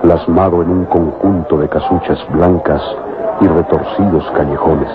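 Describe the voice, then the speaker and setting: An actor as the narrator of an old adventure radio serial, storytelling in a solemn, measured, declamatory way. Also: male voice, deep voice, steady tone, phone-quality sound, over loud music. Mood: evocative.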